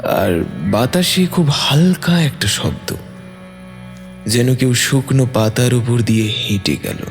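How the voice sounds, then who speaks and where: A man tells a scripted, dramatic story with animated intonation. His mid-pitched voice carries a long reverb, with music playing behind it. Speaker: storyteller, narrator.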